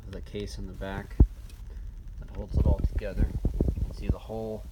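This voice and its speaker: A man giving a casual, unscripted hands-on walkthrough of a gadget, speaking in a halting way with frequent ums. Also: lowish voice, little pitch movement, highs cut off. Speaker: presenter of a radio disassembly video. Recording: noisy recording with handling clicks.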